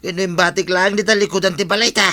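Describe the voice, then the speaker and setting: A worried, grumbling village motorcycle owner played by a man with an Ilocano accent. The voice is fairly high and forceful, in a radio comedy drama. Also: steady tone, fast talker.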